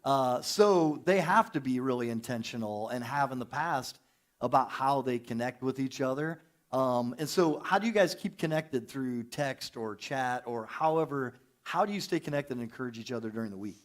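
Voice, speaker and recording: A man's voice: medium pitch, normal speed. A man hosting a youth panel in an informal, encouraging way. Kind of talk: casual panel moderating.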